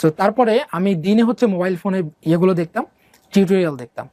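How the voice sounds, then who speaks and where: A young man from Bangladesh recounts his own life story in a loose, rambling way, with plenty of fillers and English words mixed into his speech. He talks at a brisk pace. The recording is dry and close, with no room sound. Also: high voice, mellow, no room sound. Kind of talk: casual conversational storytelling.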